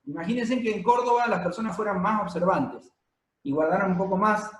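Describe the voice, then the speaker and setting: Male preacher, higher register, teaching sermon delivery with hesitant pauses, into a mic in a reverberant hall. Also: slight background noise. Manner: explanatory teaching, frequent pauses and fillers. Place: church hall, congregation present and streamed. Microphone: handheld or stand microphone. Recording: echoey room.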